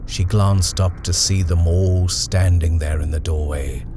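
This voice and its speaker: Deep-voiced man narrating a horror story. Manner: measured and expressive. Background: music.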